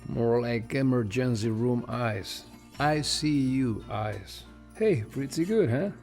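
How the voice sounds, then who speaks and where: An actor playing an ailing, wisecracking faded ladies' man in a radio drama, with a gravelly voice and a wry manner. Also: mid-range voice, a little mellow, soft background music. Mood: wistful joking. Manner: slow, wry, joking.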